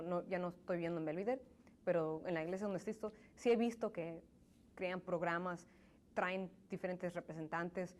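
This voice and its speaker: A woman's voice: lowish voice, darkish tone. Young woman, earnest, thoughtful, frequent restarts, faint background hiss. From Mexican family, raised in the United States. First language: English. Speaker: interview guest. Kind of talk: conversational interview.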